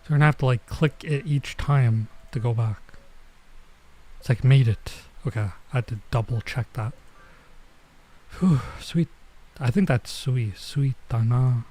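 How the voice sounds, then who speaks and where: A man's voice: mid-range voice, fairly even intonation, moderate pace, darkish tone. A man giving casual gameplay stream commentary, muttering to himself in mildly exasperated slang. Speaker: streamer, VTuber playing a visual novel.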